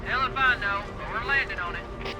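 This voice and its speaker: High, urgent military pilot played by a man. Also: normal speed, over music, crowd noise, close to an everyday voice, low-quality recording. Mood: tense. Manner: urgent, clipped, raised voice. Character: strained male military lifeboat pilot under pressure. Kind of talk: video game cutscene acting.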